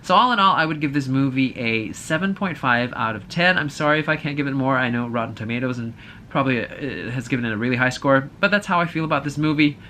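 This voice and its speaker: Bright-voiced man giving a casual review. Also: medium pitch, fast, a little hiss or hum. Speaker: movie reviewer host. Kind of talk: casual opinionated review to camera.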